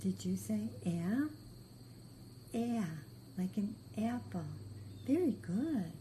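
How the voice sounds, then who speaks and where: A woman with a very mellow, dark tone leads a beginner phonics lesson at a slow pace. She gives short, patient instructions and repeats her praise often. There is some faint noise in the recording. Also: medium pitch, lively intonation, rough recording. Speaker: reading teacher.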